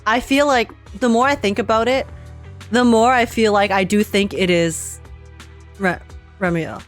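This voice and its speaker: A woman's voice: mid-range voice, unhurried, faint music behind. A woman giving casual, slangy live gameplay reactions, musing aloud and repeating her phrases as she goes. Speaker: game streamer.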